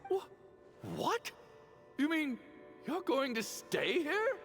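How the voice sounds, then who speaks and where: A fretful young game sidekick, high voice, super animated, reverby. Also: male voice, slow pace, faint music behind, full character voice. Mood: panicked, urgent. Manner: exclamatory, fretful. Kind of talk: video game voice acting.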